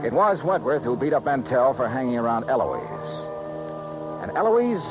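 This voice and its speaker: An actor playing a tough, wry American insurance investigator in a clipped 1950s radio drama style. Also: male voice, mid-range voice, sing-song, normal speed, muffled recording, over music, natural voice. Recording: vintage 1950s radio recording.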